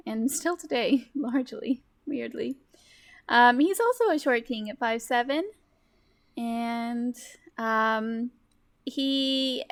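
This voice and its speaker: Slow-paced, higher-voiced woman, playful and casual. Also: no room sound. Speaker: roleplaying game player. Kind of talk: casual, playful character introduction and banter.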